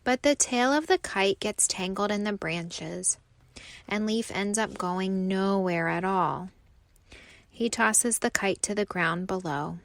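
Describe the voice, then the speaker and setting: A woman narrating a children's storybook aloud with expressive, deliberate phrasing. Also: mid-range voice, vocal fry, dry recording.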